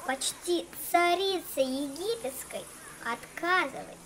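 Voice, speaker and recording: Woman as a high, haughty Egyptian queen doll. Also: slow, background noise. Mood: scheming, haughty. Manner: theatrical, grandiose. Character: bored, haughty, greedy Egyptian queen-like receptionist girl doll. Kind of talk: children's cartoon dubbing.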